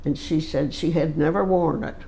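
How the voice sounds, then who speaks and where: A woman of about a hundred recalling old family stories in a slow, halting way, with many pauses and fillers. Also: low-pitched, steady tone, gravelly, warm tone, slight background noise, poor audio. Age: 100s. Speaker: interviewee. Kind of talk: casual storytelling.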